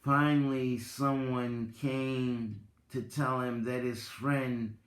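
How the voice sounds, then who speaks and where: A man giving a dramatic reading with spoken commentary, deliberate and halting, in short phrases. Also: medium pitch, flat delivery. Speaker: reader, content creator. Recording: roomy, echoing voice recording.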